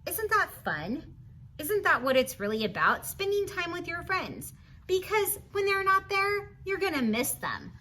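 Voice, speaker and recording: A woman's voice: extremely high pitch, big pitch swings, a little slow, bright, forceful tone. A woman reading a picture book aloud to young children, adding chatty asides in an encouraging, instructional manner. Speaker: children's read-aloud host.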